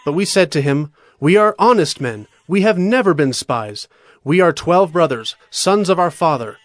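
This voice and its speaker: A man's voice: on the high side, expressive, normal speed, natural voice. A man playing an anxious, pleading brother. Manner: earnest and pleading. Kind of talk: dramatized scripture reading.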